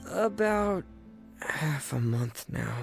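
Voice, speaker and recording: A woman's voice: low-pitched, expressive, takes it slowly, creaky, exaggerated voice. A woman voicing a shy, earnest young teenage boy with a hesitant manner, in a video game. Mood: guarded. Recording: game audio with faint music behind.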